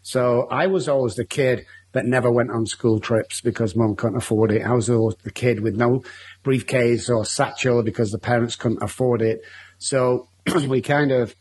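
A man from Manchester with a Mancunian accent tells his story as an interview guest, candid and rambling, often restarting his sentences. His voice sits at a middling pitch and carries a husky, slightly rough texture. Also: steady tone, normal speed, a little mellow. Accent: Mancunian English. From Manchester, England.

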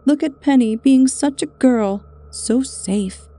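A woman's voice: high-pitched, takes it slowly, dark tone, no echo, pitched above the performer's own voice. Woman doing a jeering, mocking young man. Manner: teasing, jeering.

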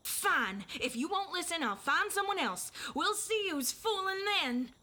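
A woman's voice: very high voice, expressive, unhurried, sharp tone, heavily acted. A woman playing a spirited, headstrong young village girl in an audio drama, with an earnest delivery. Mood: pleading. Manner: urgent, earnest. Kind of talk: audio drama acting with first-person narration. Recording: noisy ambience behind.

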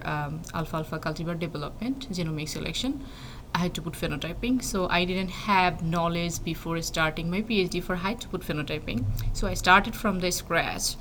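A woman's voice: on the low side, moderate pace, fairly bright tone. A young woman from Bangladesh, a plant breeding PhD student, answering interview questions thoughtfully with many fillers and restarts. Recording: faint background noise.

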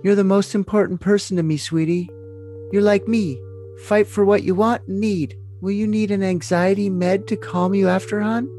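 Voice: medium pitch, steady tone, normal speed, dark tone, soft background music, natural voice. A performer voicing a sweet, submissive young woman in love, in an overly dramatic, gushing reading of messages. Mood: adoring.

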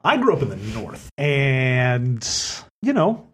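A man's voice: medium pitch, very animated, slow pace. A man voicing a nervous young male thief who stammers and plays himself down. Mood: sheepish. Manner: stammering, self-deprecating.

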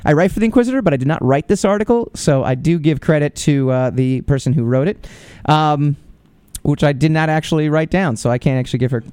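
A man's voice: fairly high pitch, fairly quick, darkish tone, smooth, no echo. A man hosting a podcast, giving casual, opinionated commentary with lots of fillers and restarts. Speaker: podcast host.

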